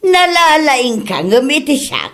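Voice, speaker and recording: A woman's voice: high voice, sing-song, unhurried, a bit bright, full character voice. A woman with a Filipino accent playing a nagging, exclaiming older mother-in-law in an Ilocano comedy radio drama. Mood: teasing, prying.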